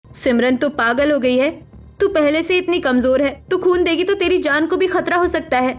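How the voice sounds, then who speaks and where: A woman's voice: high voice, normal speed, natural voice. A woman voicing a protective, outspoken young sister-in-law in a melodramatic cartoon story, speaking emphatically. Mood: alarmed, protective. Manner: emphatic, persuasive.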